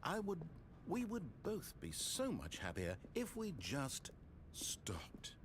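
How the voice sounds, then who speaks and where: An actor playing an anxious, pleading, theatrical narrator of a video game, speaking in wordy, earnest, dramatic lines. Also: male voice, fairly high pitch, huge pitch swings, takes it slowly, slight background noise, heavily acted. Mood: pleading, wistful.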